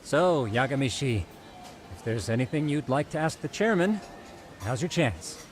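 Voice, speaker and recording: Man as an animated, precise private detective. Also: medium pitch, moderate pace, faint music behind, natural voice. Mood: polite, businesslike. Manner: formal, precise, explanatory. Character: businesslike, eager male private detective pitching his plan. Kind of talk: video game cutscene acting.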